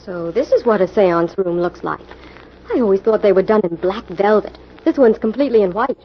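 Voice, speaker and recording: A woman's voice: medium pitch, expressive, fairly quick, lightly acted. An actress playing an outspoken, curious female sleuth's assistant, forthright and eager, in an old radio mystery. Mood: attentive, practical. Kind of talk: 1940s radio mystery acting. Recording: muffled, noisy old radio recording.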